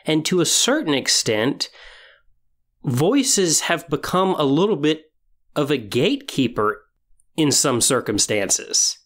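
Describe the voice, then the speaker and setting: A man hosting a podcast monologue, explaining and giving tips in a measured way with emphatic phrasing. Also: on the high side, very animated, fairly bright tone. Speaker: podcast host.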